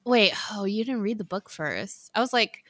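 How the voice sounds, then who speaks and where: A young woman listening to a friend's story, jumping in with quick questions and blunt one-liners. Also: mid-range voice, animated, fairly bright tone, dry recording. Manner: reactive, quick interjections and blunt quips. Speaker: listener in a chat. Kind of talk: casual chat.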